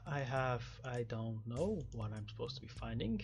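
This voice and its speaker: A gaming host with a creaky, gravelly edge to a medium-pitched voice. The delivery is casual and unsure, muttered to themself in short repeated phrases while playing. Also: lively intonation, moderate pace, a little mellow, faint music behind. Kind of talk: casual gameplay commentary, reading game dialogue aloud.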